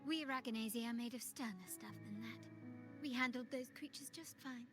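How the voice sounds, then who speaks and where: A woman's voice: on the high side, moderate pace, close to an everyday voice. An actress voicing a proud noblewoman of a powerful family, poised and confident. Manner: poised, confident, faintly haughty. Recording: game audio with music behind.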